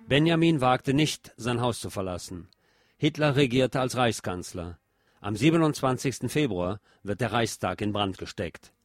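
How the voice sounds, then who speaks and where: A man's voice: mid-range voice, no echo, studio quality. A man reading scripted biographical narration as a radio narrator.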